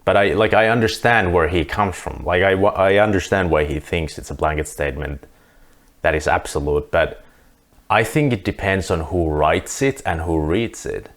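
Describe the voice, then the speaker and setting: A man's voice: deep voice, moderate pace, a bit bright. A Finnish man speaking English as a second language, earnestly talking through his views to camera with frequent restarts. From Finland. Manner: earnest, thinking aloud with restarts. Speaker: vlogger. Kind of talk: casual monologue to camera.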